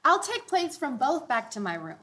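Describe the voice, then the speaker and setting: An odd, aloof kid with a witchy streak in a live sitcom read, played by a woman. The voice is high and bright, with animated pitch. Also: moderate pace. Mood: dry, intense. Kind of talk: sitcom table read before live audience.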